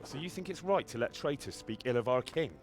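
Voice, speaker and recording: A man's voice: mid-range voice, expressive, brisk, lightly acted. Guy playing young blacksmith's son in a video game, earnest and defiant, midrange voice, bit of music. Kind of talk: dramatic video game acting.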